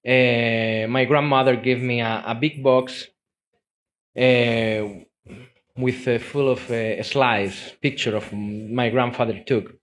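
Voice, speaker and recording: A man from Spain and Uruguay with a Spanish accent, a native Spanish speaker, giving an informal slideshow talk as a documentary photographer, often repeating words and restarting his sentences. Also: mid-range voice. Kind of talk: informal slideshow presentation to an audience.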